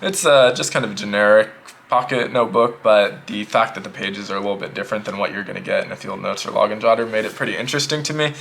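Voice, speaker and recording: A man casually reviewing a notebook pouch in a relaxed, rambling way, a little sick and slightly hoarse. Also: on the low side, fast talker, a little hiss or hum. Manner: relaxed, rambling, repeats himself. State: losing his voice, a bit sick. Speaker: EDC gear reviewer.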